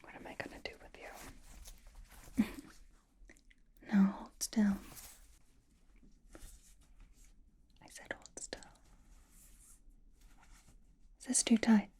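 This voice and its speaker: A woman playing a seductive, possessive female vampire in an ASMR roleplay, teasing and doting in a soft, breathy whisper. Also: medium pitch, flat delivery. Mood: fond, scolding. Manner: teasing, doting, slow and deliberate.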